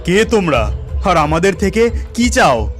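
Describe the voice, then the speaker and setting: A man's voice: high voice, sing-song, a little slow, soft background music. A man voicing an earnest, devout village husband in a cartoon story. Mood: anxious pleading.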